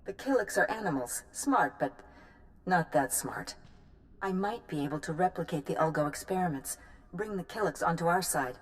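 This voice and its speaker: A woman's voice: fairly low pitch, lively intonation, moderate pace, close to an everyday voice. A woman playing a pragmatic, hard-nosed military science commander in a video game, clipped and businesslike. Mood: brusque. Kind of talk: video game character acting.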